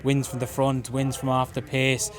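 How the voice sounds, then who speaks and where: Flat-toned Irish racing pundit. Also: male voice, medium pitch. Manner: measured, often opens with "look". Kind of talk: race analysis chat.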